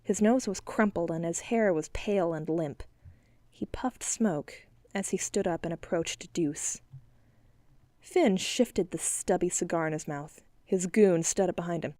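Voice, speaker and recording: A woman narrating an audiobook with steady, clear storytelling. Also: fairly low pitch, a little slow, no room sound. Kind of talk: scripted narration.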